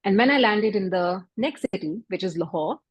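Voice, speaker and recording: A Pakistani-accented woman speaks in a slightly breathy, husky voice, earnest and measured as she addresses an event audience. Her first language is Urdu, and she now and then restarts a phrase. The sound is close and dry, with no room echo. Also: medium pitch, animated. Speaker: doctor and health startup founder. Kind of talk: event talk.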